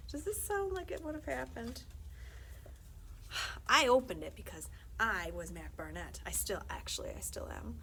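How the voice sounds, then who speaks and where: A woman's voice: fairly high pitch, fairly bright tone, poor audio. A woman reading a children's book aloud expressively for library story time, with asides to her listeners. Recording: faint background noise.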